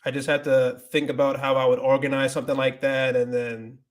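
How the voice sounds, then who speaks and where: A man's voice: mid-range voice, level tone, fairly quick. Young guy on a livestream, rambly, tons of "like", mid voice, switches to spanish w a dominican accent. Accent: Dominican Spanish accent when speaking Spanish. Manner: rambling, many restarts and "like" fillers, switches into Spanish. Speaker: livestream host answering viewer questions. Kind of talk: casual livestream chat.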